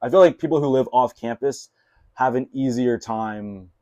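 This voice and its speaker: A young man hosts a casual podcast conversation, talking in a loose, rambling way full of "like" and restarted phrases. His first language was Mandarin, though his speech here is relaxed everyday chat. The sound is close and dry, with no room echo. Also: mid-range voice, moderate pace, lo-fi sound. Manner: rambling, filler-heavy, many restarts. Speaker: podcast host.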